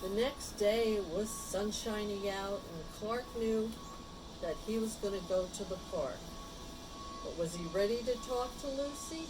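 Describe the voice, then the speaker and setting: A woman reading a picture book aloud to young children, pausing for simple asides and questions about the pictures. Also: medium pitch, over music, low-quality recording. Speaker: storybook reader.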